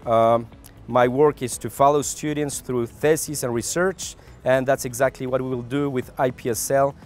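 A man's voice: mid-range voice, steady tone, measured pace, a little mellow. A man in his forties giving a promotional introduction to camera as a program director. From Colombia or Switzerland.

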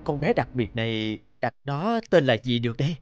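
A man voicing an excitable, loving young father in a cartoon, with an earnest, warm delivery. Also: medium pitch, normal speed, slight background noise, close to an everyday voice. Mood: tender, excited. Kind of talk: cartoon voice acting. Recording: dull, dry, music underneath.

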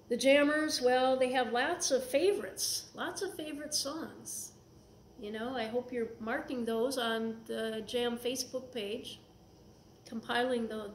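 A middle-aged woman pastor with an Upper Midwest American accent preaching a relaxed, conversational sermon in her soft voice from a small church. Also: sits high. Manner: conversational, gestures while preaching seated. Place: small church sanctuary, indoors. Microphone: fixed camera in front. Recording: echoey room, faint hiss.

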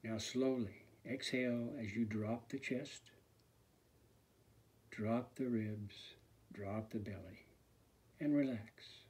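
Male meditation instructor, very slow and hushed, breathy, soothing delivery. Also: lowish voice. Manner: slow, soft and soothing, often sinking to a near whisper. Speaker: fitness instructor. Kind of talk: guided meditation.

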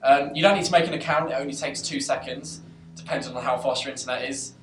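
A man's voice: medium pitch, fairly even intonation, fast talker, a bit bright. A young man from a band, rambling and jokey as he promotes a competition in a casual video. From United Kingdom. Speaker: band member. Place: dark room, band seated on a couch. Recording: echoey room from far off.

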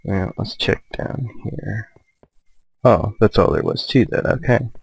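A man narrates his game in a casual, low-key way, thinking aloud to himself. He talks very slowly and hesitantly, with a creaky edge to his voice. The sound is dry and close. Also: no room sound. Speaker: gamer, let's play host. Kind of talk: casual let's play commentary.

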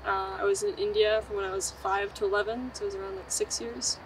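A woman's voice: medium pitch, flat delivery, quick pace, far from the mic, low-quality recording. A casual young woman from Canada speaks in a mid-range voice. She sits outdoors, off-mic, with faint noise around her. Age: 20s. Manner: casual, with likes and fillers. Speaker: student. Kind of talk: documentary interview. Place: outdoors on a bench among trees.

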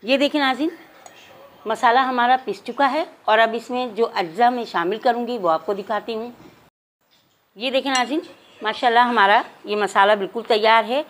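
A woman's voice: on the high side, a bit bright. A woman hosts a cooking channel. She walks viewers through a recipe step by step in her home kitchen, addressing them formally and counting out each measure aloud.

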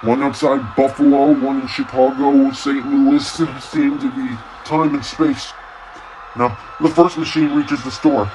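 A man playing a doomed radio news reporter, giving a halting, stammering eyewitness broadcast in an old-time radio drama. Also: medium pitch, fairly even intonation, darkish tone, slight background noise, close to an everyday voice, rough recording. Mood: panicked. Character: doomed male radio reporter, dread turning to panic.

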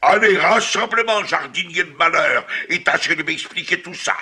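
A man's voice: a little high, moderate pace, pushed and bright. A man voicing a stern, blustering grown-up bird in a French cartoon dub, emphatic and authoritative. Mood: exasperated. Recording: music behind the voice.

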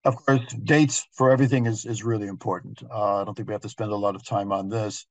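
A man's voice: lowish voice, fairly even intonation, quick pace, dry recording, low-quality recording. A man giving an informal slide lecture in a hesitant, explanatory, conversational way. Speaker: guest lecturer on genealogy.

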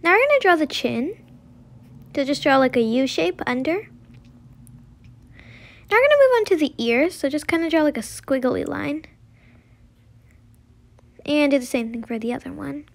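A young girl with a high voice talks through a drawing tutorial step by step. Her wording is simple and informal, as if showing a friend what to do next. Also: animated, normal speed, creaky, pauses often. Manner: simple, informal instruction with frequent "like".

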